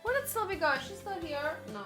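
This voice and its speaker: A woman's voice: very high voice, very animated, fast talker, loud music behind the voice. A young woman streamer chatting casually and rambling through a game reaction, with playful little exclamations. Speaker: gaming streamer. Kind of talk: casual livestream chat and reaction.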